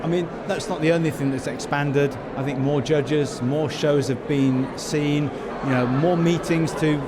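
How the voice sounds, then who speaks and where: A man's voice: fairly high pitch, fairly even intonation, a little slow. A man hosting a casual backstage podcast interview at a busy event. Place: busy public venue at an awards event. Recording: very loud crowd chatter behind. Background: loud crowd and venue noise.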